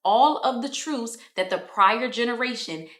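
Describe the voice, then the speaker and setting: A woman's voice: a little high, animated, unhurried, fairly bright tone. A woman in her twenties giving motivational self-improvement advice straight to her viewers, in a direct, chatty way. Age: 20s. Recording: roomy echo.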